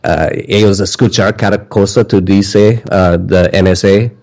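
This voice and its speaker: A man answering interview questions in halting speech, stopping often with "ah" as he searches for words. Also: low voice, fairly even intonation, a little mellow. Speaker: interview guest.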